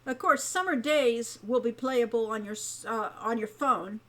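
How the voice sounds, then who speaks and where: A woman's voice: on the high side, a little slow, pushed and bright. A woman chatting casually as a game developer co-hosting a livestream.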